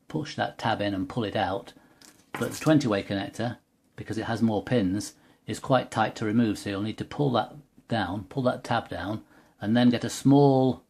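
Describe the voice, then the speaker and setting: A man's voice: fairly low pitch, breathy edge. A man giving an unscripted technical tutorial, explaining methodically step by step as he demonstrates a product.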